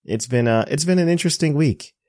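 A man's voice: fairly high pitch, brisk, dark tone, dry recording, studio quality. A man hosting a podcast in a casual, joking, self-deprecating chatty way. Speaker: podcast host. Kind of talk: casual chat.

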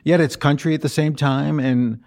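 A man's voice: a little high, steady tone, moderate pace, gravelly, a little mellow. A middle-aged man interviewing a singer, chatty and full of compliments, with frequent ums. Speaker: radio interviewer.

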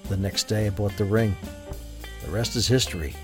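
A man's voice: fairly low pitch, moderate pace, natural voice. A man playing an easygoing, slightly smug semi-retired businessman in an audio drama. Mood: reminiscing. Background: music.

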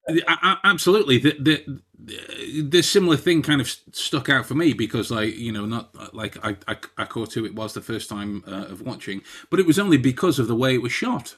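A man's voice: medium pitch, a little fast, hardly any pauses, a bit bright, husky. A man co-hosting a podcast in casual chat, often stumbling and repeating words as he thinks. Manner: hesitant, many repeated words and restarts. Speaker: podcast co-host.

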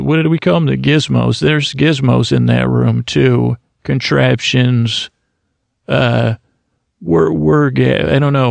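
A man hosting a sleep podcast, talking in a meandering, halting way and often interrupting himself. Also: medium pitch, vocal fry, no echo. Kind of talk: rambling bedtime podcast talk.

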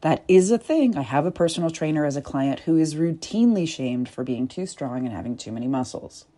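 Deep soft-voiced woman, mellow tone, slow thoughtful talk with little whispers. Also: lively intonation. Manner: soft, deliberate, with pauses and whispered asides. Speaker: body-work coach. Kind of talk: reflective spoken essay.

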